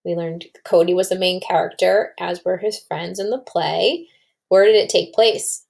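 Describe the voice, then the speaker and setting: A woman's voice: lowish voice, steady tone, unhurried, creaky, darkish tone. A woman reading a storybook aloud to children and explaining it like a teacher, with questions to the listeners.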